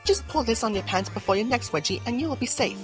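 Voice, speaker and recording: Solemn fortune-teller lady woman acting, animated highish voice, mystical, cartoon dub, light music. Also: fast talker, close to an everyday voice. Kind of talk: cartoon comic-book acting.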